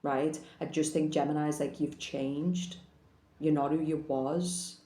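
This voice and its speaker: A woman's voice: sits low, steady tone, slow, warm tone. Blunt woman reading tarot, echoey room. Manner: blunt, frank, swears casually. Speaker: tarot reader. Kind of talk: casual tarot reading to camera.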